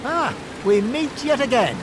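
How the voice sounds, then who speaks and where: A man playing a small, clever, confident Gaul hero in a cartoon game, brisk and assured. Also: very high voice, very animated, background noise, heavily acted. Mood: stern. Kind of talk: video game cartoon acting.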